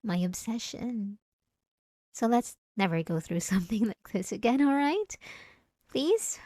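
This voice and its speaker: A woman's voice: fairly high pitch, lively intonation, unhurried, dark tone, dry recording, clean studio sound. A woman playing a possessive, obsessive wife in an intimate, emotional ASMR roleplay, speaking straight to the listener. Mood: soft, forgiving, clingy. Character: possessive, obsessive yandere wife, clingy and menacing.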